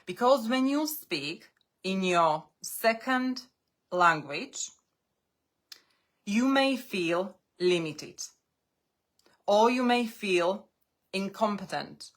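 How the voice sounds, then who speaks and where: Woman english coach, not a native speaker, talks real slow and careful in little phrases. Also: medium pitch, a bit bright. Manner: slow, deliberate, speaks in short phrases with pauses. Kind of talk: live-streamed talk to language learners.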